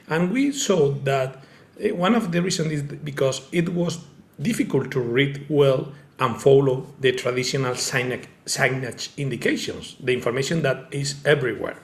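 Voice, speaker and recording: A man from Spain with a strong Spanish accent giving an online presentation, halting and peppered with "okay". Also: medium pitch, reverberant. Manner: halting, fillers like okay and eh, searches for words. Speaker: tech company founder presenting. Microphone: video call.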